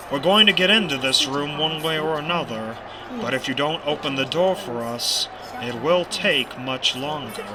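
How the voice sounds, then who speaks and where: A man acting in an audio drama as a bitter, menacing pony rebel leader, theatrical and taunting. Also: fairly high pitch.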